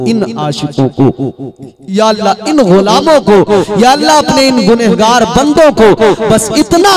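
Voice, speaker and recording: A man preaching and praying at a religious gathering in an emphatic, rhetorical, repetitive way. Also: high-pitched. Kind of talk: impassioned sermon and supplication at a religious gathering. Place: large religious gathering at night.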